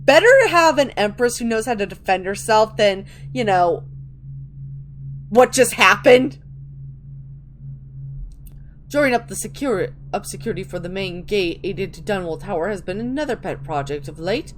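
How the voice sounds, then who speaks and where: A woman's voice: medium pitch, animated, moderate pace, slight background noise. A woman giving a theatrical dramatic reading of video game texts, stumbling over words and restarting now and then.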